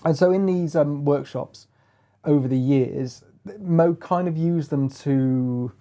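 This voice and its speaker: A man's voice: on the high side, takes it slowly, mellow. A man presenting a hands-on music gear review in a casual chat, feeling his way through phrases with lots of hedging.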